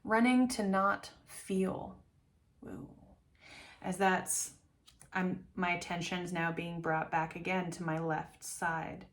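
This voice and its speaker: A woman's voice: lowish voice, steady tone, slow pace. A woman giving an intuitive reading straight to camera, meandering and chatty, musing aloud as images come to her. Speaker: tarot reader.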